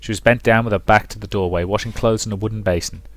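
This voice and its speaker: A man's voice: lowish voice, steady tone, fast talker. A man reading a visual novel aloud as dramatic story narration. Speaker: let's play narrator.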